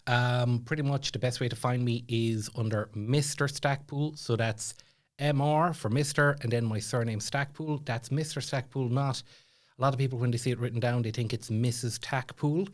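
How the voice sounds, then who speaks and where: A man's voice: medium pitch, fairly even intonation, normal speed. A man with an Irish accent telling stories casually as a podcast guest, chatty and self-deprecating, like a stand-up comedian off stage. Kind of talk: casual storytelling chat.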